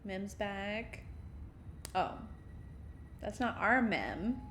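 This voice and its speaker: A woman's voice: medium pitch, big pitch swings, very slow, fairly bright tone, slight background noise. A woman streamer giving casual, chatty game commentary with joking, wry asides. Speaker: gaming streamer. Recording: game music behind voice.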